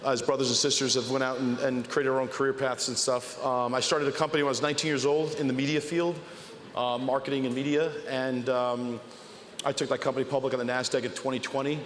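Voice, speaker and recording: A man, telling his life story casually and haltingly on a panel. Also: medium pitch, flat delivery, fairly bright tone, slight background noise. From New York (Bronx). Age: 40s. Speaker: panel guest. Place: convention panel stage, indoors. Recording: echoey large hall, live event ambience.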